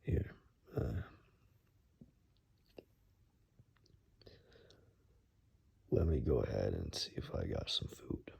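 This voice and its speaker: A man playing a gruff, protective forest dweller in an ASMR roleplay, speaking softly and huskily in a hesitant murmur, often whispering. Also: extremely low pitch, sing-song, moderate pace, heavily acted. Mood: practical, reassuring. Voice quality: soft, breathy, husky, often whispered.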